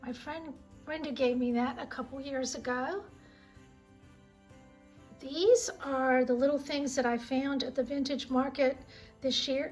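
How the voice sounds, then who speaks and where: A middle-aged woman with a voice made raspy by a sinus cold, chatting fondly and rambling as she shows her collection in her home kitchen. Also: high voice. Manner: chatty, fond, meandering. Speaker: vlog host. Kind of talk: casual home tour chat.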